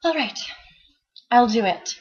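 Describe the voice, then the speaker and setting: A woman voicing a fiery, defiant madam in a story reading, shrill, commanding and dramatic. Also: animated, slow, husky, muffled recording, full character voice. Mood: determined.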